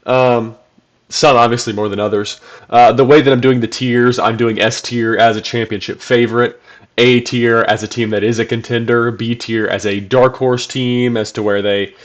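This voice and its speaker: A man hosting his own basketball channel, sounding congested from a cold, talking casually with lots of ums and restarts. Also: medium pitch. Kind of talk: casual solo commentary video.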